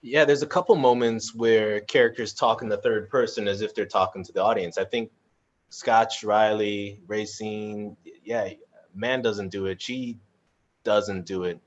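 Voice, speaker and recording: Male moderator, lowish voice, loose and halting chat style, slightly dull lo-fi call audio. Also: steady tone. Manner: informal, halting, thinking aloud. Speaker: cast member moderating a live audience Q&A. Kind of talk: casual panel chat.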